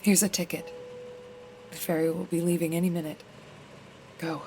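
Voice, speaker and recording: Actress as a low-voiced, urgent, brisk woman. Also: flat delivery, warm tone, slight background noise, close to an everyday voice, poor audio. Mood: terse urgency. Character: brisk, urgent woman helping a shaken guest. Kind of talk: audio drama acting. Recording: music under the voice.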